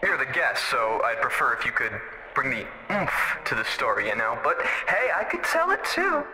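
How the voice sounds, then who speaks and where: Slick, teasing radio DJ, bright and lively delivery. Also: male voice, mid-range voice, normal speed, heavily acted, rough recording. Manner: showy, uses old slang. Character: slick showman radio host, smug, teasing. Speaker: radio host character. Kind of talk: audio drama acting as a radio DJ.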